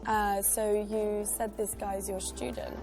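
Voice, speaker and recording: A woman's voice: medium pitch, little pitch movement, slow, gravelly. A woman playing a young woman who gives polite, slightly guarded replies to a stranger. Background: faint background music.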